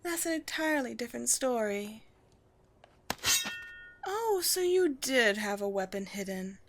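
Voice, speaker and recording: Woman playing a haughty, measured vampire queen. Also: on the high side, big pitch swings, a little hiss or hum. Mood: cool, teasing. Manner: formal, measured, haughty, teasing. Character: poised vampire queen, proud, wry, secretly gentle colony leader. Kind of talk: scripted roleplay audio drama.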